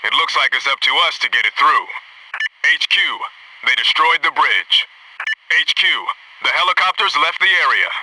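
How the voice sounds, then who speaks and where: An actor playing a disciplined, dryly wry SEAL in a military shooter game, giving clipped, businesslike reports. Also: male voice, on the high side, lively intonation, normal speed, bright, forceful tone, distant mic, slight background noise, poor audio. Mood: focused, steady. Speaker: special forces teammate reporting to headquarters.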